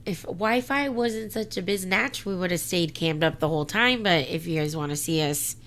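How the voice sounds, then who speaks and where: A woman's voice: lowish voice, moderate pace, fairly bright tone. A woman hosting a casual live chat show, with a giggly, slangy way of talking. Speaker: live panel show host. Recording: faint background noise.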